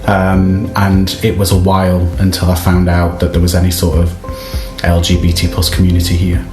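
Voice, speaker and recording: Manc guy, really low voice, chatting casually in an echoey room. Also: completely flat delivery, moderate pace. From Manchester, England. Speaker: interviewee. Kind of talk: casual interview talk. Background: guitar music.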